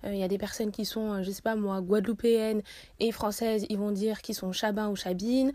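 A young woman hosts a podcast and asks long, earnest questions, often stopping to search for words. Her pitch is average and the sound is dry and close to the mic. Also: fairly even intonation, fairly quick, mellow, dry recording. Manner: earnest, wordy questions with fillers. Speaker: podcast host. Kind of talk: podcast interview.

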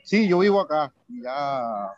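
A man from eastern Cuba with a Cuban Spanish accent, a singer being interviewed, speaking in a polite, reflective, unhurried way. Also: a little high, lively intonation, dry recording. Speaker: singer, guest. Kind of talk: casual interview. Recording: dull dry remote line.

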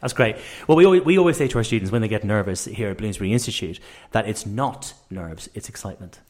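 A man's voice: on the low side, lively intonation, fast talker, darkish tone. Enthusiastic male radio interviewer. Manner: enthusiastic, affirming. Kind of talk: relaxed, encouraging interview chat. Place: radio station.

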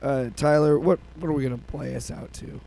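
A man co-hosting a casual podcast chat, hoarse from a cold, rambling and restarting his sentences. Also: fairly high pitch, fairly even intonation, fairly quick, warm tone, a little hiss or hum. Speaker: podcast co-host.

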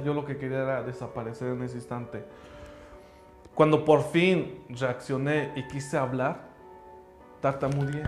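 A man's voice: medium pitch, darkish tone. English-native guy giving a pep talk for his school, earnest and emphatic, echoey room, faint music behind. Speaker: language school director. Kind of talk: motivational promotional talk. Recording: roomy echo with faint music.

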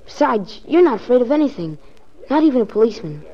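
A woman's voice: a little high, very animated, fast. A woman playing a small, plucky, stubborn boy with earnest, slangy talk in a 1940s radio drama. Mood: hopeful, pleading. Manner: slangy, insistent kid talk. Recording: muffled 1940s radio recording.

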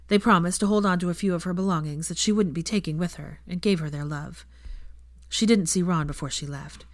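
A woman's voice: on the low side, no echo, studio quality. A woman reading fiction aloud as scripted audiobook narration.

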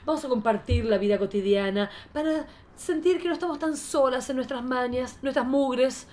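Theatrical high-voiced Argentine podcast host. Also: female voice, a little hiss or hum. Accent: Argentine Spanish. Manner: theatrical, exclamatory. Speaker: podcast host, drag queen. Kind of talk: playful casual podcast chat.

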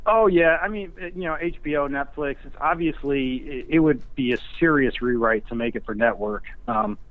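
A man's voice: on the high side, moderate pace, no room sound. A man giving casual interview answers as a writer, hesitant and thinking aloud. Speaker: screenwriter being interviewed. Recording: thin phone-like remote line, dry.

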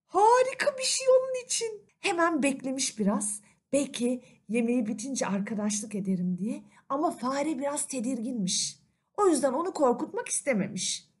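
A woman storyteller narrating a children's fairy tale in an animated, expressive manner. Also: high voice, slightly rough.

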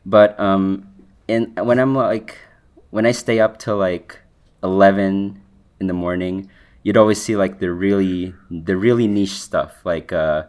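A man's voice: low-pitched, fairly even intonation, a little slow, darkish tone. A young man from the Philippines with a nasal voice, chatting casually on a podcast, rambling and correcting himself. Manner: rambling, self-correcting, lots of likes. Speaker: podcast co-host. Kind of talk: casual chat.

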